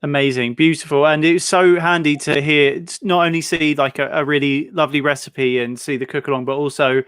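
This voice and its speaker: A man's voice: fairly high pitch, fairly even intonation, fairly quick, fairly bright tone, clear, resonant tone, no echo, clean studio sound. A young man hosting a casual video-call interview, enthusiastic and chatty, with many fillers and restarts. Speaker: host.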